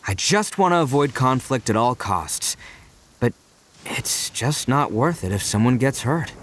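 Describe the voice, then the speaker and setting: An actor playing a brash, big-hearted young gang leader in a video game, boisterous and colloquial with boyish bravado. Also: male voice, mid-range voice, animated, measured pace, a bit bright. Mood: sheepish, sincere. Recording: faint game music behind.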